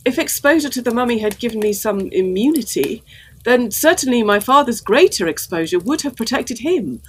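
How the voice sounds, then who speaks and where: An actress playing a grave, worried young gentlewoman, formal and earnest, in a period gothic audio drama. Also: fairly high pitch, expressive, moderate pace, slight background noise, natural voice, low-quality recording.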